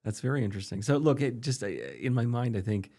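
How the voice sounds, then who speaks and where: A man's voice: medium pitch, sing-song, fast talker, gravelly, mellow. A man around forty, a native Polish speaker, interviewing casually as an interested, affirming listener.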